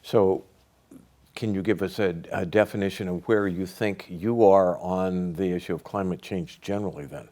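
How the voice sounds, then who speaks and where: An older man hosting a TV studio interview, asking measured, probing questions. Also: low voice, fairly even intonation, dark tone. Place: small TV studio set.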